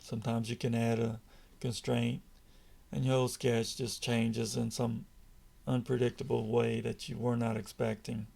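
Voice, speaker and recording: A man with a raspy voice, calmly walking through a software tutorial over a screen recording. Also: medium pitch. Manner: slow, measured, patient explaining. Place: indoors at a computer, voice-over on screen capture.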